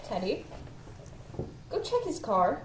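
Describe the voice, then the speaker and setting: A woman's voice: on the high side, big pitch swings, takes it slowly. A woman acting a bossy, suspicious restaurant boss in an amateur stage play, questioning people sharply and giving commands. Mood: cold, commanding. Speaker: actor in a one-act play. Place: indoor stage. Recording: echoey room with noise.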